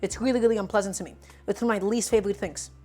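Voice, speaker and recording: A woman's voice: medium pitch, steady tone, racing, warm tone, faint music behind. A woman in her twenties hosting a casual cooking livestream, teasing her viewers and explaining each step while she chops. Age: 20s. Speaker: cooking streamer.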